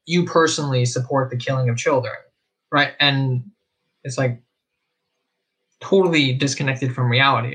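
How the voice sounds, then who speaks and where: A man's voice: on the high side, sharp tone, poor audio. A young man from Israel hosting a livestream, talking in an earnest, reflective way with frequent fillers and restarts. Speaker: host of a live discussion stream.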